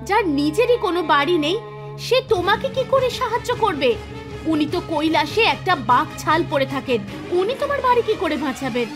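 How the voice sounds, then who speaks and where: A woman's voice: very high voice, very animated, moderate pace. A woman voicing a poor man's sceptical, scolding wife in a cartoon, nagging and exclamatory. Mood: scornful. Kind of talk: animated moral story dialogue. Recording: music under the voice.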